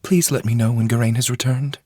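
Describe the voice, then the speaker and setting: A woman's voice: rumbling low, fairly even intonation, brisk, mellow, slightly rough, no echo, clean studio sound, pitched above the performer's own voice. An anxious young noblewoman. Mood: subdued. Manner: fretful, pleading.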